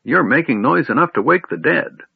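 A man's voice: a little high, lively intonation, fairly quick, vocal fry, slightly rough, dry recording, voice pushed up, exaggerated voice. A man voicing a cranky, absent-minded old male wizard in a storybook reading, snapping and fussing. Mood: grumpy. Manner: snappish, loud, fussy. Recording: dry tinny phone-like audio.

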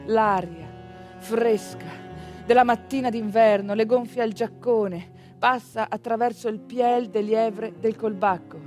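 A woman delivering a theatrical monologue in a conversational way, with pointed pauses and rhetorical asides. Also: fairly high pitch, a little mellow, faint music behind. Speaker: stage actress narrating a monologue.

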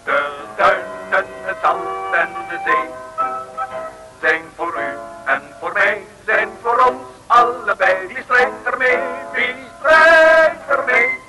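A man sings slowly in a high voice, in the style of a comic anthem from 1960s radio. Music accompanies him on the vintage recording. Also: expressive, rough recording.

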